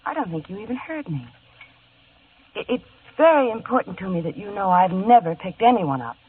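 A woman's voice: mid-range voice, lively intonation, a little fast, breathy edge. A young actress playing a shy, flustered woman who stammers and hesitates, in old-time radio acting. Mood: unsure. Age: young adult. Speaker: dinner guest. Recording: tinny old radio broadcast.

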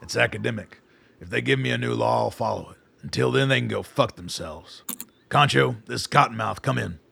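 A weary, stubborn colonel in a radio play. The voice is heavily creaky and rough, with a forceful edge. He talks in blunt, clipped military phrases and sounds used to being obeyed. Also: medium pitch, brisk, dry recording, heavily acted. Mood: grim, defiant. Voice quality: gravelly, raspy. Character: weary, foul-mouthed army colonel, commanding and stubborn. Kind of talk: radio drama acting.

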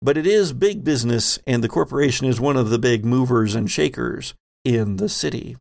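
A man narrating a tabletop game podcast as host and game master, with dramatic storytelling phrasing and deliberate pauses. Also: medium pitch, gravelly, a little mellow.

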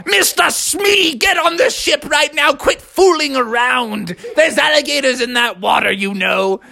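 A storyteller voicing a bossy, heroic frog pirate captain with commanding, drawn-out pirate-speak in a children's story. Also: mid-range voice, animated, gravelly, pushed and bright, dry recording, studio quality, full character voice. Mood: scolding.

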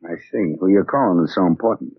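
An actor playing a scheming, sarcastic American racketeer in 1940s radio crime drama style, with a husky voice. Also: male voice, low-pitched, quick pace. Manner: sneering, sarcastic tough-guy talk. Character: scheming male racketeer, menacing, sarcastic, cocky. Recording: dull old radio recording.

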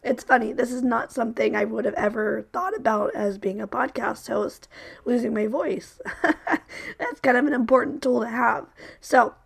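A woman podcast host who is losing her voice, speaking raspy and hoarse in a casual introduction, laughing lightly at it. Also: on the high side, normal speed.

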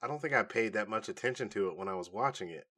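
A man's voice: on the low side, lively intonation, racing, bright, forceful tone. A man co-hosting a podcast, talking in a casual, informal and conversational way about entertainment. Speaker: co-host of a nerd news podcast. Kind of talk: casual chat.

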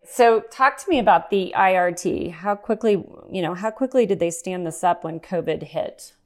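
A woman's voice: lowish voice, moderate pace, darkish tone. A woman co-hosting a podcast, reading polished, upbeat introductions and asking interview questions. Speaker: podcast co-host.

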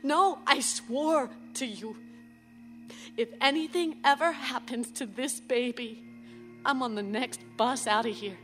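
A grieving mother in a radio drama, tender and bitter in her despair. Her voice is high and bright, with a wounded, intense delivery. It sits in long reverb with some noise around it. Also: measured pace, faint music behind. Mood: anguished. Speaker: actress in a radio play. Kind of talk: emotional radio drama acting.

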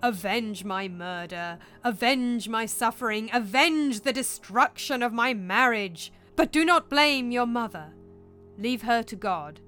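A woman voicing the vengeful ghost of a murdered king in a storybook reading, intoning slowly and solemnly. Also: a little high, lively intonation, fairly bright tone, clean tone, soft background music. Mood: commanding, solemn. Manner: slow, drawn-out, solemn intoning.

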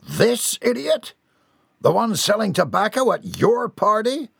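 A man acts a gruff, wealthy father from a storybook. He is stern and formal, with a husky voice and an indignant, wide-swinging delivery. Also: a little high, very animated, moderate pace, a bit bright, dry recording, clean studio sound, pitched above the performer's own voice, exaggerated voice. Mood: accusing.

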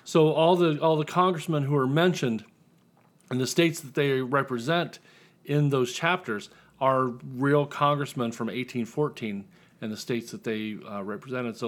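A man chatting casually as an author guest in an interview, telling his story in a wry, dry way. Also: mid-range voice.

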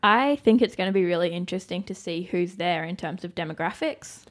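A young woman co-hosting a podcast, measured and thoughtful. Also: on the low side. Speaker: podcast co-host. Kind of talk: scripted podcast intro and chat.

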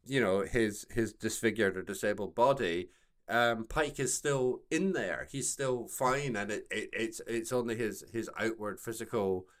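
A man co-hosting a podcast, discussing and critiquing in a casual, halting way, repeating words for emphasis. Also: on the low side. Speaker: podcast co-host.

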